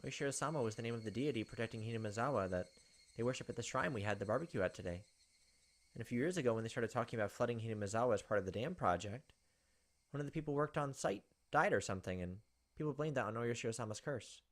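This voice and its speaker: A man's voice: medium pitch, animated, fast. A young man hosting a gaming video, reading a visual novel aloud with casual asides.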